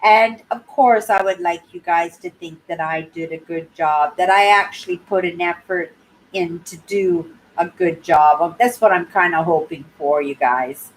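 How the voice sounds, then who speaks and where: A woman in her sixties from Canada, chatting casually on her live stream in a clear, high-pitched voice and often ending sentences with "right?". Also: unhurried, slight background noise, poor audio. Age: 60s. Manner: rambling, tags sentences with "right?". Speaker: YouTube channel host.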